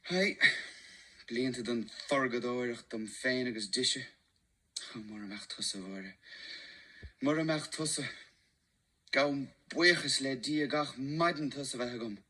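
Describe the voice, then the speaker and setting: A married schoolteacher caught up in a hidden romance in an audio drama. He pleads and confides with earnest feeling. His voice is bright and forceful, set at an ordinary middle pitch. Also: normal speed, pauses often. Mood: earnest, confiding. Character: secretive male schoolteacher, married, in a hidden love affair. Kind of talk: radio drama acting.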